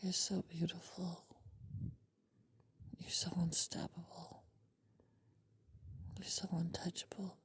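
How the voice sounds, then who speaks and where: Whispery woman doing a sleepy girlfriend asmr, super breathy n low-key, flat soft pillow talk, tender and soothing. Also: slow, pauses often. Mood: adoring, tender. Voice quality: breathy, mostly unvoiced whisper.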